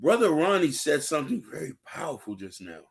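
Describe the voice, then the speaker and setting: Male podcast host, fairly high voice, emphatic opinionated delivery aimed straight at listeners. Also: very animated, a little fast, gravelly. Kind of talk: opinionated panel talk with rhetorical questions.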